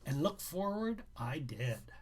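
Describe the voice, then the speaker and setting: A man from Canada reading his own book as an author, giving an expressive, theatrical and deliberate first-person narration. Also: fairly high pitch, husky.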